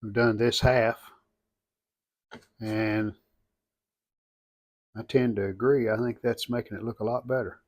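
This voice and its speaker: A man's voice: on the low side, steady tone, a little slow, long pauses. A man narrating a hands-on restoration casually, thinking aloud in short, plainspoken phrases. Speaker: restoration video host.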